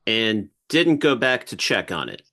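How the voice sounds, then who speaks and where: A man's voice: on the low side, sing-song, unhurried, pushed and bright, exaggerated voice. Man acting an incredulous cop, swinging intonation. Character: incredulous forty-year-old male police officer. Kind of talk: table read of a TV script.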